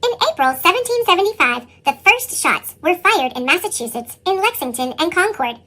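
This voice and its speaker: A woman reading a children's picture book as an earnest young boy, with a squeaky pitched-up chipmunk effect. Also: brisk, heavily acted. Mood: determined. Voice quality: squeaky, sped-up chipmunk sound. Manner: animated children's read-aloud delivery. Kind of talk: scripted picture-book narration.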